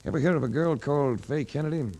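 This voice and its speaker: An actor playing a worldly, wisecracking private investigator in 1940s radio drama, laconic with a dry wit. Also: male voice, a little high, fast, warm tone, natural voice. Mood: cool, probing. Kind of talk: 1940s radio detective acting, hardboiled narration. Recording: noisy old 1940s radio recording.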